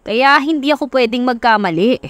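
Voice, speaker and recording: A woman's voice: sits high, normal speed, a bit bright, no room sound, voice pushed up. A woman voicing a friendly, assured older male tricycle driver in an audiobook story. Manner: avuncular, assured. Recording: music under the voice.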